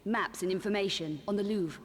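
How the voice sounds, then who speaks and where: A woman's voice: medium pitch, a little fast, long reverb tail, close to an everyday voice. An actress voicing a determined young woman adventurer in a video game, cool, clipped and businesslike. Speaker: character in a video game cutscene.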